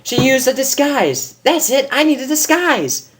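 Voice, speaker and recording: A woman's voice: high voice, big pitch swings, a little slow, voice pushed up. A woman voicing a brash, impatient young female pegasus from a cartoon, blurting and hesitating as she goes. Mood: scheming, excited.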